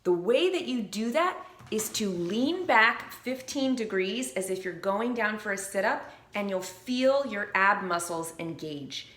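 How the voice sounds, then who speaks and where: A woman coach teaching singing basics in a bright, ringing voice. Her delivery is clear and upbeat, sometimes breaking into brief sung notes to show what she means. The room adds a roomy echo around her. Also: mid-range voice, animated, unhurried, hardly any pauses. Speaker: vocal coach. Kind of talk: instructional video.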